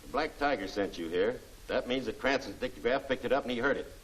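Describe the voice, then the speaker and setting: Actor as a fast-talking, shrewd 1940s gangster lieutenant. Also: male voice, mid-range voice, close to an everyday voice. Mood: commanding. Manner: curt, hard-nosed, commanding. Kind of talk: 1940s film serial acting. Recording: noisy old film soundtrack, distant mic.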